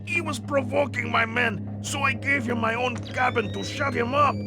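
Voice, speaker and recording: An actor playing a gruff, wary South ship captain in a video game, curt and commanding. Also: male voice, very high voice, steady tone, normal speed, over music. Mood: wary, irritated.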